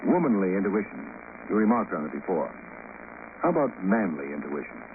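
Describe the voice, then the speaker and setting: Casting: wry gumshoe for an old radio mystery, low register, clipped and hard-boiled, thin vintage sound. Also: male voice, big pitch swings, fairly quick. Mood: probing, sardonic. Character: wry, hard-boiled male private detective. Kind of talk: 1950s radio detective drama acting, partly narrating. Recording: noisy, tinny vintage radio recording.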